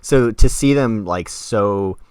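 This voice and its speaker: Lowish-voiced man in casual, dry-sounding chat. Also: slow pace, darkish tone, clean tone, no echo. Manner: appreciative, informal. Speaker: podcast co-host. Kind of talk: casual music discussion.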